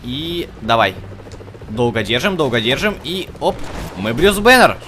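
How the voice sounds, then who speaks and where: A man's voice: mid-range voice, very animated, a little slow, a crowd in the background. A man giving casual let's play commentary as host, chatting to the game's characters and to his viewers. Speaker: gaming video host.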